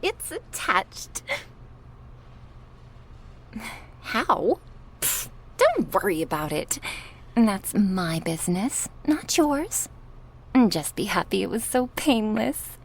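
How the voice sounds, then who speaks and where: A woman playing a sadistic, gleefully cruel goddess in an ASMR horror roleplay, taunting theatrically and slipping into breathy whispers. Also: medium pitch, very animated, slow, slight background noise. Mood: smug taunting.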